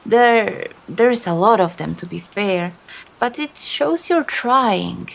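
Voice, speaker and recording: A woman voicing a teasing but sympathetic female demon in an intimate ASMR roleplay, coaxing softly with dramatic hesitations. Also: mid-range voice, lively intonation, slow pace, creaky, rough recording. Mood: gentle, consoling.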